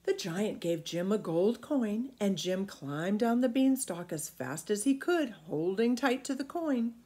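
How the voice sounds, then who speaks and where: A middle-aged woman reads a children's story aloud. Her delivery is expressive, with lively pitch movement around a medium register. She is recorded at home, a short way from the device. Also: a little slow. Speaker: storybook reader. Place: small indoor room at home.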